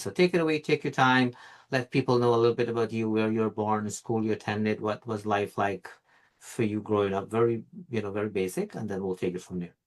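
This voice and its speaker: A man from Guyana hosting an interview, relaxed and encouraging, with many "you know" and "kind of" fillers. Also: fairly low pitch. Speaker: host. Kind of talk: casual interview talk.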